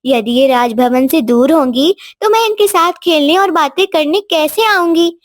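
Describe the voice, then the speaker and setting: A woman's voice: high voice, animated, normal speed, dry recording. A woman voicing a sweet, tender-hearted young princess in a cartoon moral story, earnest and childlike. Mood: plaintive. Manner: earnest, childlike, formal wording.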